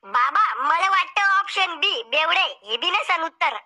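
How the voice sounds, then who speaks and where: A woman's voice: very high voice, animated, unhurried, sharp tone, exaggerated voice. A woman voicing a cheeky, idle young grandson in a cartoon, bantering in a rustic way. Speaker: game show contestant. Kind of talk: cartoon comedy acting.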